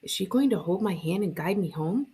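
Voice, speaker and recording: A woman reading a first-person story aloud as a librarian would, with expressive narration. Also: mid-range voice, a little fast.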